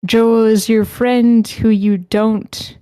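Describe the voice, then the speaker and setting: Chill lady running an online table read, soft mellow voice, no room sound. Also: on the high side, steady tone, takes it slowly, smooth, no room sound. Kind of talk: casual livestream hosting. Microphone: close mic with a sock over it, online voice call.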